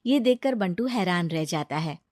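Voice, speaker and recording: A woman's voice: lowish voice, animated, moderate pace, darkish tone, dry recording, studio quality. A woman narrating a scripted moral story for a cartoon.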